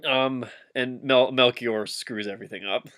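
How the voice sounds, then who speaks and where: A man's voice: medium pitch, fairly even intonation, moderate pace, a bit bright, clean tone. A man doing a comic dramatic reading of fan fiction, giggly and often breaking off to heckle the text with asides.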